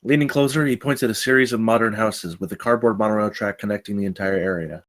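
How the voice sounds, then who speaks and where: Fast-talking man narrating a script. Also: on the low side, fairly bright tone. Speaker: narrator reading stage directions. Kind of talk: scripted narration at a table read.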